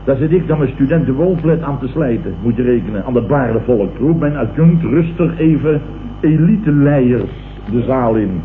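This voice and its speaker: A man's voice: mid-range voice, animated, normal speed, phone-quality sound, close to an everyday voice. Casting: male actor as blustering, self-satisfied company boss for vintage radio comedy; thin noisy sound, mocking repeats. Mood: exasperated. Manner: pompous, repeats others' words mockingly. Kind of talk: 1970s Dutch radio comedy acting. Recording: noisy, roomy old radio broadcast recording.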